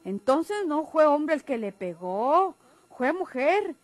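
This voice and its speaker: A woman's voice: high-pitched, very animated, slow, dry recording, heavily acted. An actress playing a nosy, insistent young country girl in a radio drama. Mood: curious, sly. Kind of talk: Mexican radio drama acting.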